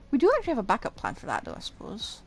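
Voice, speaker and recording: A woman's voice: on the high side, slight background noise. A woman giving casual, offhand live commentary while playing a video game. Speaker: game streamer. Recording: game music behind the voice. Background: video game music and combat sounds.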